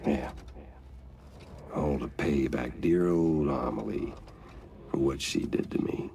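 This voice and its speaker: An actor playing a gloating, theatrical, vengeful villain in a video game, with a gravelly American Southern drawl that dips into whispers. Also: male voice, a bass voice, takes it slowly, mellow, full character voice. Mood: smug, theatrical. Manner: theatrical, taunting, savouring each word. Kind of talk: cinematic video game acting.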